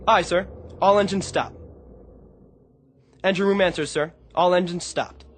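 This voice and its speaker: An actor playing a dutiful, alert navy crewman with a husky voice and formal delivery in a radio drama. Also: sits high, fairly even intonation, measured pace. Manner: formal order read-backs.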